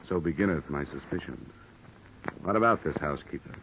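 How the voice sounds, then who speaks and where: An American actor playing a cool, wisecracking hardboiled investigator in an old-time radio noir, with a dry, deadpan delivery. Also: male voice, very deep voice, a little fast, slight background noise, full character voice. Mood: dry, probing. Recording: dull vintage radio recording, music behind.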